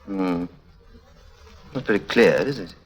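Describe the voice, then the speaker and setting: An actor with a British accent playing a weary, guarded diplomat in a 1950s radio drama, clipped and evasive, with a husky voice. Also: male voice, low voice, expressive, slow, full character voice. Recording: vintage 1950s radio recording.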